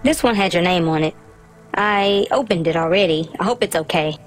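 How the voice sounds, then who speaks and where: An actress voicing an eager, chatty young child who is anxious to please, in a video game. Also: female voice, lowish voice, moderate pace, soft background music, natural voice, rough recording. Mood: excited. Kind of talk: video game character acting.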